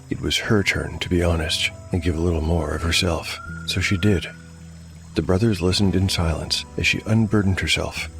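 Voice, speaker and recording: Low, gravelly male storyteller over music. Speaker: solo game master and narrator. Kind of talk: dramatic storytelling narration with game commentary. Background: prominent music.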